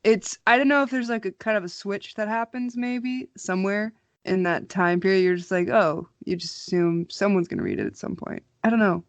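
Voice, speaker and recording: A woman's voice: mid-range voice, fairly quick, dry recording. A young woman co-hosting a podcast, chatting casually and wryly with a friend. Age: young adult. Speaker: podcast co-host. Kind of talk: casual chat between friends.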